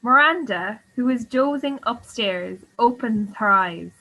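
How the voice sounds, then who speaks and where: A woman's voice: fairly high pitch, expressive, measured pace, sharp tone, poor audio. A woman reading scripted stage directions as the narrator of a radio play.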